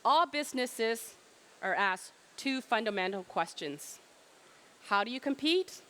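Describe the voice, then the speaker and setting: A woman's voice: a little high, expressive, a little slow, bright, forceful tone. Emphatic middle-aged woman giving a keynote. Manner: emphatic, asks rhetorical questions. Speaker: trade fair presenter. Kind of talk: keynote presentation.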